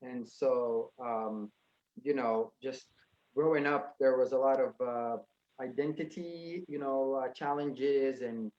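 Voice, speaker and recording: Halting man on a dull line. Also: medium pitch, a little mellow. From Sudan, raised in Los Angeles. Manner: halting, searching for words. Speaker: film producer on a panel. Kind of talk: panel discussion. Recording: dull lo-fi sound.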